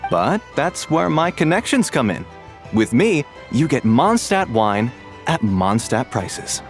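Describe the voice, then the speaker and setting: A man playing a slick, confident wine trader in a video game, full of smooth sales patter. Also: medium pitch, big pitch swings, slow pace. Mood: confiding. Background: music.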